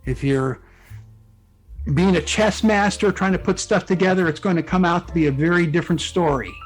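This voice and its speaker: A man speaking as a guest author in a casual interview, explaining things informally and reflectively, with some halting phrasing. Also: high-pitched, rough recording. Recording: music playing behind the voice. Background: background music.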